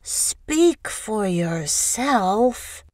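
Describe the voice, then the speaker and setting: A woman's voice: mid-range voice, big pitch swings, extremely slow, no echo. A woman playing a tipsy, sullen young woman who mumbles drowsily in an audiobook drama. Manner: slurred, mumbling.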